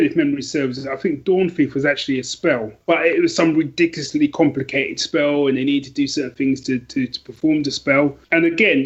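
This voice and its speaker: The sound is a little dull and lo-fi. A man with a fairly high voice chats as a podcast guest about books, enthusiastic and emphatic, looping back and restarting as he goes. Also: husky. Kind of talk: casual book chat.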